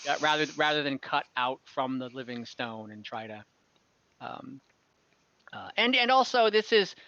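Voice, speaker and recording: Bright-voiced man as halting game master. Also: medium pitch, expressive, highs cut off, a little hiss or hum. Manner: halting, rambling, self-correcting. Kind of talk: improvised narration of a tabletop fantasy game. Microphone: online voice call, close microphone.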